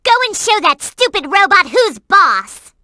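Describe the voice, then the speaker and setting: A woman's voice: very high voice, very animated, measured pace, sharp tone, no room sound, exaggerated voice. A woman voicing a perky, earnest and bossy young girl companion in a video game, with emphatic delivery. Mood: urgent, alarmed. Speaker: in-game hint-giving companion.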